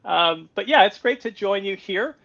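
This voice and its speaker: A middle-aged American man, a guest on a podcast, talking in a relaxed, self-deprecating way and often restarting his sentences over a video call. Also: sits high, moderate pace, gravelly. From United States. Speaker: guest, policy advisor. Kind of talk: conversational interview. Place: home on a Greek island. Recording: dull lo-fi call audio.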